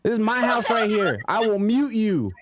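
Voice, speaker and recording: A man guest on a casual gaming podcast who teases and pretends to be outraged, swearing freely. His voice sits high and bounces a lot in pitch. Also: big pitch swings, moderate pace, background noise. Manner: playfully indignant, teasing, curses freely.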